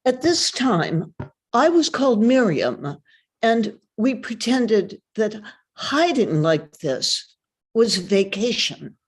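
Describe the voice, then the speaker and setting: A woman giving a measured, deliberate dramatic reading of a first-person story. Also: a little high, sing-song, slightly rough. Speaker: reader.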